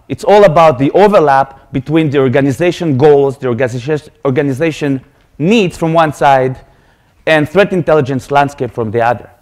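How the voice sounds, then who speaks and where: A man in his thirties from Israel with an Israeli accent, giving an earnest, explanatory technical talk from a lecture hall stage into a podium microphone. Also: fairly high pitch. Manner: earnest, explanatory, repeats words when restarting. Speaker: conference speaker.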